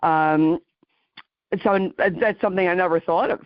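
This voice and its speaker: A woman's voice: fairly low pitch, a little slow, no echo. A woman in her sixties hosting a podcast, chatting casually and circling back over her phrases as she talks. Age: 60s. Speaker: podcast host. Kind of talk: casual conversational interviewing. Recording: dry, slightly dull audio.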